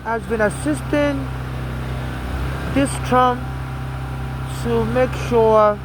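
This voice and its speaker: A man's voice: very high-pitched, extremely slow. A man from Nigeria with a Nigerian accent mixed with an American one, talking outdoors on a city street at night in a rambling, aggrieved, repetitive way. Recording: noisy street traffic background. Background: passing traffic.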